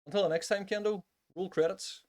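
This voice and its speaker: A man's voice: high voice, steady tone, brisk, loud music behind the voice. Northern Irish man, casual podcast host. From Northern Ireland.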